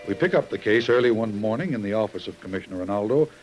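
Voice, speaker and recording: A man's voice: fairly low pitch, lively intonation, fast talker, darkish tone, rough recording. A man narrating a true crime case in a serious, formal 1950s radio style. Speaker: magazine editor, narrator host. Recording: vintage radio recording with music. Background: music.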